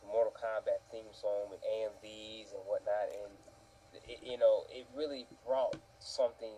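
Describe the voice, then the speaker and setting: A man speaking as a panelist in a casual movie discussion, earnest and halting, repeating words as he goes. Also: fairly low pitch, level tone, warm tone, slight background noise, poor audio.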